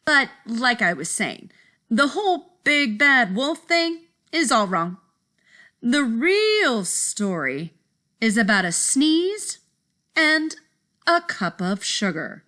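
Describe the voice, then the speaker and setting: A woman's voice: a little high, very animated, slow, pushed and bright, full character voice. A woman voicing a big bad wolf telling his side of a picture-book story in a folksy, indignant, mock-innocent way. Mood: earnest, explaining. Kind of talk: animated picture-book read-aloud.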